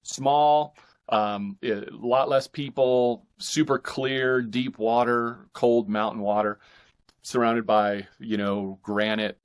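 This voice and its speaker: A man's voice: mid-range voice, steady tone, slow, clear, resonant tone. A middle-aged man with an American accent, talking casually as an interview guest in hesitant, meandering phrases. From northeastern California, United States. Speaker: podcast guest, author and pastor.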